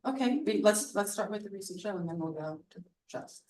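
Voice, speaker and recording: A woman's voice: medium pitch, expressive, fairly quick, darkish tone. A woman artist leading a casual public conversation at an event, hesitant with many ums and plainly enthusiastic. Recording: voice heard from far off the mic.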